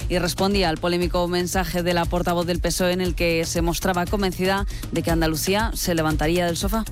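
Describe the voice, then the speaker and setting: High-voiced male radio news presenter. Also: little pitch movement, quick pace, soft background music. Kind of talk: scripted news reading.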